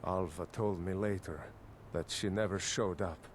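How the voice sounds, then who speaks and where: An actor playing a grieving, disbelieving village husband in a fantasy video game, speaking in a halting, dramatic way. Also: male voice, low-pitched, fairly even intonation, a little hiss or hum, natural voice. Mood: mournful.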